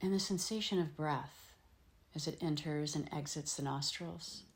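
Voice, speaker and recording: A woman teaching yoga nidra, leading a guided meditation in gentle, soothing phrases with frequent pauses. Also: deep voice. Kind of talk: guided meditation, slow instruction with long pauses.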